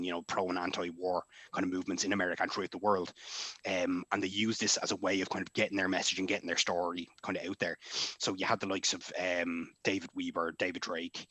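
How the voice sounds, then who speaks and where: Irish guy on a panel, low voice, bright, earnest, thinking out loud. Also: no echo. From Ireland. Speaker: panelist, author. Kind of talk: convention panel discussion.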